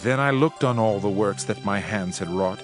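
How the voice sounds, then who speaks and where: An actor playing a world-weary preacher king in a dramatized scripture reading, solemn, measured and oratorical. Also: on the low side, close to an everyday voice. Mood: reflective, rueful. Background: background music.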